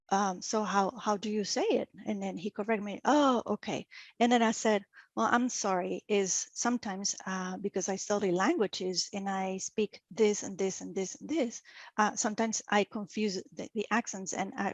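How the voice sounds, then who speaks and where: A middle-aged woman with a Venezuelan Spanish accent tells her story in English as an interview guest. She is animated and laughs easily, and she often restarts her phrases. The recording is dry, with no room echo. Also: mid-range voice.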